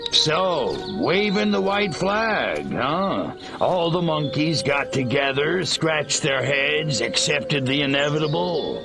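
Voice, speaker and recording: A man's voice: a little high, very animated, takes it slowly, gravelly, few pauses, heavily acted. An actor playing a cocky, sarcastic male alien invader in a video game, over music. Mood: mocking. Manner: sarcastic, wisecracking. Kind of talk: video game cutscene acting. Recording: game audio with music behind.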